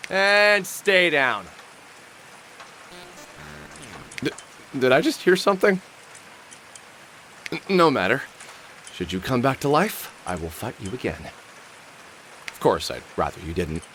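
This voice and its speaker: A man's voice: mid-range voice, expressive, unhurried, long pauses, pushed and bright, slight background noise. An actor playing a wry, world-weary middle-aged man in a video game, muttering sardonically as he thinks aloud. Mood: wary, wry. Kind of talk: scripted game character monologue, thinking aloud. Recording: music under the voice. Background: music.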